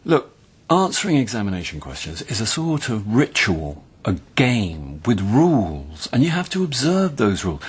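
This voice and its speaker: An actor playing a wry, patient middle-aged university tutor in a radio play, with an English accent and a measured, donnish, sometimes hesitant delivery. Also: male voice, fairly low pitch, very animated, husky, exaggerated voice. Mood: patient, instructive.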